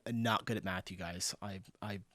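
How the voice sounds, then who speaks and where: A man from the United States hosting a casual reaction video, reading out viewer comments in a relaxed, chuckling way. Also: low-pitched, moderate pace, no echo, studio quality. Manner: laid-back, chuckling, reads out viewer comments.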